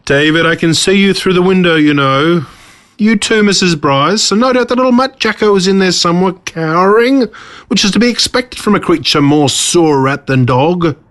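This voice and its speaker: A man's voice: high voice, expressive, normal speed, fairly bright tone, voice pushed up. A man voicing a smug, menacing villain in a storybook reading, pompous and theatrical in his delivery. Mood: smug, mocking. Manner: pompous, gloating, dramatic.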